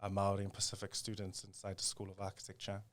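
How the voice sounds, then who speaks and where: Male interview guest, New Zealand accent, lower-pitched, casual and halting delivery. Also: monotone. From South Auckland, New Zealand. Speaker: podcast guest, design studio co-founder.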